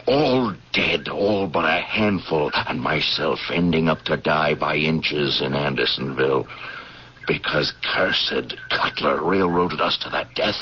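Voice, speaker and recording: An actor playing a bitter, vengeful Union Army major haunted by prison camp, intense and brooding, in old-time radio drama style. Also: male voice, low voice, breathy edge. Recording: muffled, noisy old radio drama tape.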